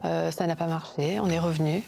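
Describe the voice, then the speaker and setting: A fado singer, a woman from Portugal's Algarve, telling her story in an unhurried, reflective way in a documentary interview. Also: low voice, steady tone, gravelly, mellow.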